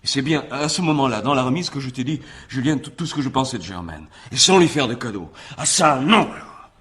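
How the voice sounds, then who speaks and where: An actor playing an earnest, resentful younger brother in a French radio crime drama, speaking in a husky male voice. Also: medium pitch, expressive, a little fast, fairly bright tone. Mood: indignant.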